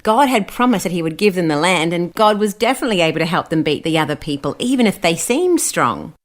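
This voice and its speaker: A woman's voice: on the low side, animated, fast. A woman with an Australian accent hosting and reading scripted stories for children, explaining things simply. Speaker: children's Bible story host.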